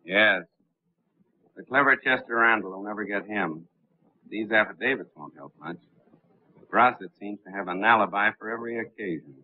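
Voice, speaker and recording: An actor playing a dry, sardonic official with a wry manner in a 1930s film. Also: male voice, fairly low pitch, normal speed, pauses often, natural voice. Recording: muffled old 1930s film soundtrack.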